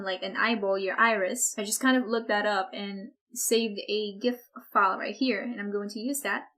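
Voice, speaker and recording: A woman teaching an online software course, talking casually with lots of "like" and "kind of", her voice a little hoarse from recording too much. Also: fairly high pitch. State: losing her voice, a bit hoarse. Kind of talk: screen-recorded software tutorial.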